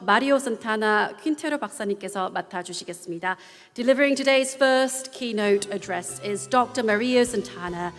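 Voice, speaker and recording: Woman emcee, formal and measured, high voice, reverberant hall with soft music. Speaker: bilingual event emcee. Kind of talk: formal announcement. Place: large hotel conference hall.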